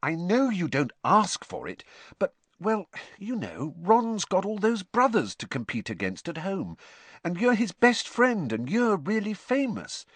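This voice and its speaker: A man's voice: sits high, wildly swooping pitch, unhurried, fairly bright tone, no room sound, voice pushed up, full character voice. A man doing an anxious, bossy teenage girl. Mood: patient. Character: earnest worried teenage girl, bossy and sensible.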